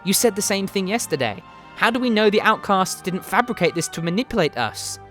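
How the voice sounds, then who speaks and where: Man playing intense young male bird creature, urgent, challenging, higher voice, music behind, fantasy story. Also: expressive, brisk, fairly bright tone. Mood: doubtful, intense.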